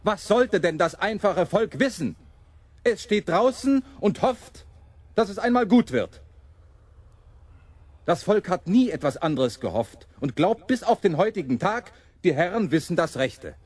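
Radio-play rebel preacher: impassioned champion of the poor, higher-pitched, very animated, oratorical. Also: male voice, a little slow, husky, no echo, heavily acted. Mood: impassioned, bitter. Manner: oratorical, mocking the powerful. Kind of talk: German radio play monologue.

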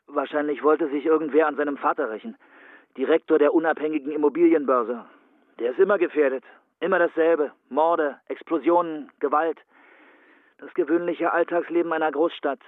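An actor playing a brisk, cynical male friend in a radio drama, heard through a telephone filter. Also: fairly high pitch, no room sound. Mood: urgent, cynical. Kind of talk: German radio drama acting. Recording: thin, dry phone-line sound.

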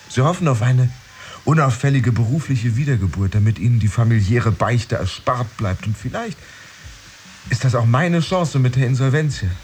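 An actor playing a brooding man who secretly pretends to have a job, in a measured, introspective inner monologue for a radio play. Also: mid-range voice, a little mellow, slight background noise, close to an everyday voice. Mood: brooding, observant, then anxious, uncertain. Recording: music behind the voice. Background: music.

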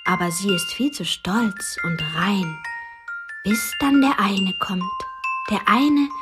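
A woman's voice: mid-range voice, expressive, slow, loud music behind the voice. An actress playing a dreamy, romantic young girl in a German radio drama, often slipping into whispery play-acting.

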